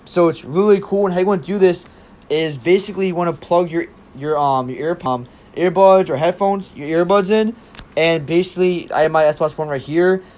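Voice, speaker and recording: Higher-pitched young man, rambling. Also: a little mellow. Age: young adult. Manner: rambling, halting, repeats himself. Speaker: tech tutorial presenter. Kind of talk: casual app tutorial.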